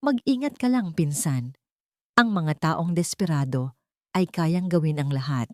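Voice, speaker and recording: A woman's voice: sits low, animated, a little slow, dry recording, studio quality. A woman voicing a scheming male cousin who feigns concern in a confiding, insinuating way, in a drama story. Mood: ominous warning.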